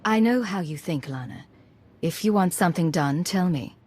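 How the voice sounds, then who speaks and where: An actress playing a cool, composed female agent in a video game, speaking in a measured, businesslike way. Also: on the low side, expressive, darkish tone, slightly rough, soft background music.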